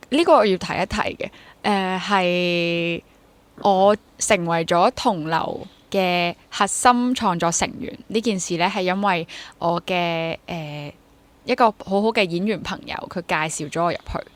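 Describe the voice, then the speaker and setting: A woman's voice: on the low side, unhurried. A woman in her twenties with a Hong Kong accent, talking conversationally as an interview guest and dropping in English words. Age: 20s. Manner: mixes English words into Cantonese. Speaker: interview guest, freelance stage actor. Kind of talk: conversational interview, sharing personal experience.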